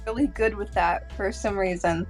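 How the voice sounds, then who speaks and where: A woman chatting casually on a group podcast, explaining things in a halting way. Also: mid-range voice, steady tone, soft background music.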